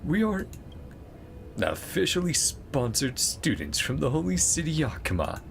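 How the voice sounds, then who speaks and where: Man doing an earnest, higher-pitched young student. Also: wildly swooping pitch, measured pace, gravelly, slight background noise, heavily acted. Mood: polite, eager.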